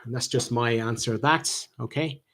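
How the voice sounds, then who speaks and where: An Irish man hosts a live chat and answers his viewers' comments one by one. He talks at a middling pitch for a man and stops often to restart his sentences with plenty of ums. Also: fairly bright tone. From Ireland. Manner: halting, with many ums and restarts. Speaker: channel host answering viewer questions.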